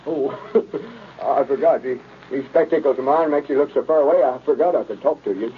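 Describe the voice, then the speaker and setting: An actor playing a slow-witted, good-natured young country fellow, simple and hesitant, with a rural Southern accent in a 1930s radio comedy. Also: male voice, mid-range voice, lightly acted. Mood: sheepish. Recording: tinny, noisy old radio recording.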